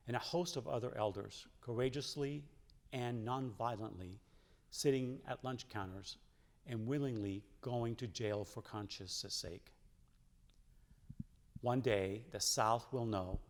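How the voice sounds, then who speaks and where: A man reading a written letter aloud in a solemn way, with measured, deliberate phrasing. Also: medium pitch. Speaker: church member reading aloud. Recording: long echoing reverb.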